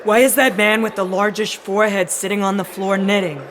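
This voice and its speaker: A blunt, nosy tourist acted by a man in a comic audio play. His voice is high-pitched, with faint ambient noise behind it. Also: level tone, fairly quick, a bit bright. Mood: curious. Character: blunt, curious male tourist. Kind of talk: comic audio theatre acting.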